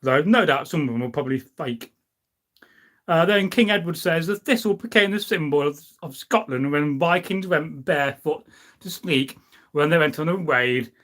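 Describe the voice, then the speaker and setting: A middle-aged man hosts a casual live stream. His voice sits a little high for a man. He talks in an informal, wandering, anecdotal way, as if chatting with his viewers. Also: normal speed, a bit bright. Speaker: live stream host, history enthusiast. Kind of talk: casual rambling chat, reading out and answering viewer comments.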